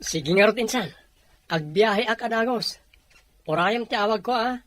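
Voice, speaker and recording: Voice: medium pitch, moderate pace, a bit bright, dry recording, close to an everyday voice. A voice actor playing a humble adult worker in an Ilocano audio drama, speaking conversational dialogue. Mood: friendly, grateful. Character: humble adult city worker moving to the province for a job.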